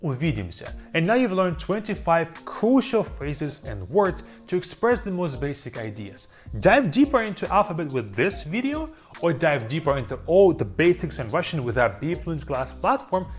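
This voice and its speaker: An auto-dubbed teacher's voice walks through beginner phrases, repeating each word slowly. The pitch moves in a lively way, and faint music plays underneath. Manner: slow, repeats words for learners. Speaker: language teacher. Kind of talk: scripted lesson narration.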